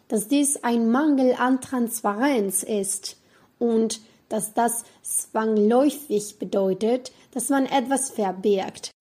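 Slow, husky young Spanish-speaking woman. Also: a little high, darkish tone. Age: 20s. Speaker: student presenter. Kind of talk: reading a prepared talk.

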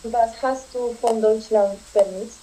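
A young female student hosts a podcast and asks plain, scripted questions. Her voice is fairly high and mellow. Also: fairly even intonation, measured pace, rough recording. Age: young adult.

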